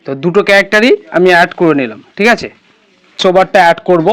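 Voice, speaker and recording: A man's voice: high voice, expressive, racing, a bit bright. A man hosting an informal step-by-step tutorial, instructing and often checking that listeners follow. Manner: instructional, repeatedly checking understanding. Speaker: tutorial host.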